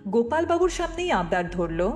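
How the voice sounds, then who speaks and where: A woman's voice: fairly high pitch, very animated, normal speed, a little mellow, soft background music. A woman reading a scripted story as a dramatic audiobook narrator.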